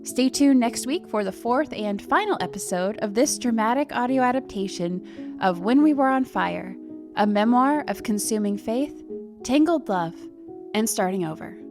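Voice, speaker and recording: A woman podcast host reading a scripted outro in an announcing style. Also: on the high side. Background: music.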